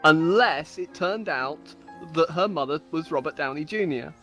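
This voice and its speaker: A woman's voice: sits low, lively intonation, normal speed, a bit bright, faint music behind. A woman storyteller giving a dramatic, mock-epic reading of comic fantasy stories, with amused asides. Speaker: storyteller on a game server.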